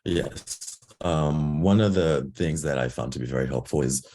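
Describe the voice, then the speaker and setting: A man in his twenties, hoarse from losing his voice, giving an earnest candidate speech over a video call with many ums. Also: rumbling low, a little slow, warm tone, a little hiss or hum. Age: 20s. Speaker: board candidate.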